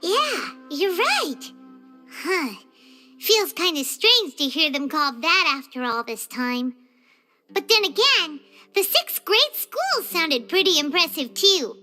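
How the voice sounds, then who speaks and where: A little childlike fairy sidekick in a video game. The voice is extremely high and squeaky, leaping around with curious, excitable chirpiness. Music runs clearly behind it. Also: female voice, slow, heavily acted. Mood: curious, chatty.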